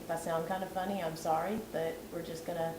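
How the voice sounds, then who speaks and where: Home cook losing her voice, rambling cooking vlog, lowish, distant camera mic in a roomy kitchen. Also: flat delivery, quick pace. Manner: chatty, self-deprecating, rambling. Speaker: vlogger. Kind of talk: casual cooking tutorial. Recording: echoey kitchen, far from camera, background noise.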